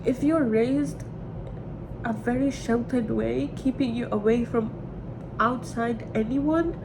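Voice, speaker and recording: A woman in her twenties talking candidly and emotionally in a rambling personal confession, repeating herself for emphasis. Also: slow pace. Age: 20s. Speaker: vlogger. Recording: clearly noisy with low rumble.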